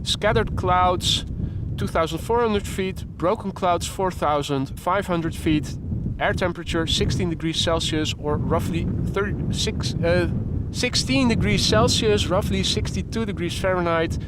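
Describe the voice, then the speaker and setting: A Dutch man hosts a live plane-spotting stream. His voice sits a little high, and his delivery is calm and explanatory as he talks viewers through each detail. Strong wind roars across the microphone the whole time. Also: measured pace. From Netherlands. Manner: calm, explanatory, chatty, reads out weather reports. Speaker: plane-spotting livestream host. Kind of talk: live commentary. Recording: loud wind buffeting the mic.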